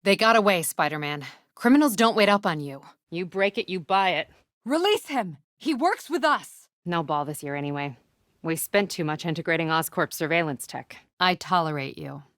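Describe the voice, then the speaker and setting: Tough female police captain, lowish voice, terse, authoritative, dry wit, no-nonsense, video game dialogue, very dry sound. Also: animated, normal speed, a bit bright, no room sound. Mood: dry, businesslike, then urgent commanding.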